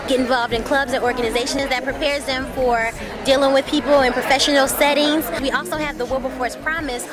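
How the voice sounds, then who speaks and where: A woman who recruits for a college, explaining its programs in an upbeat way in a documentary interview. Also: sits high. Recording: crowd with music over it.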